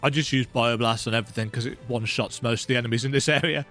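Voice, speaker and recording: A man's voice: mid-range voice, steady tone, rapid-fire, a bit bright, faint music behind. A man giving casual, conversational commentary while playing a video game.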